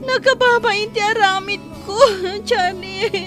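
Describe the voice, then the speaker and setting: Very high-voiced distraught young daughter. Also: female voice, fairly even intonation, slow pace. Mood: tearful, ashamed. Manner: tearful, emotional delivery. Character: distraught young adopted daughter, hurt and ashamed. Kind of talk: radio drama acting. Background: prominent dramatic music.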